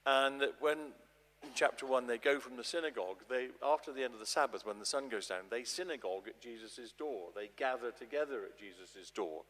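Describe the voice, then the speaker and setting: A man speaks informally to explain his translation, at a medium pitch. He restarts phrases as he goes, and his voice carries a long church reverb. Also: fairly quick, fairly bright tone. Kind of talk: informal public talk. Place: large church, addressing an audience.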